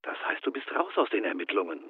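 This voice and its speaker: An actor playing a frank, loyal police investigator in a radio drama, informal and matter-of-fact, through a phone filter. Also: male voice, low-pitched, very animated, fast talker, slightly rough, heavily acted. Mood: blunt. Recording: thin, phone-like band.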